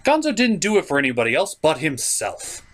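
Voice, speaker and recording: A man's voice: a little high, huge pitch swings, brisk, fairly bright tone. A man hosting a comedic review show, narrating from a script. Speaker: host and reviewer.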